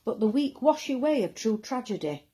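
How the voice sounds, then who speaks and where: A woman giving an expressive recitation of poetry as a reader. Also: a little high, normal speed, slight background noise, rough recording.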